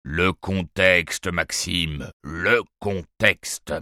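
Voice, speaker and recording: A man voicing a stern male German teacher who repeats his point slowly and emphatically, in an audiobook. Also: deep voice, sharp tone, dry recording, studio quality, heavily acted. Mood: insistent. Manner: slow, emphatic, hammering repetition. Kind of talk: audiobook character voice.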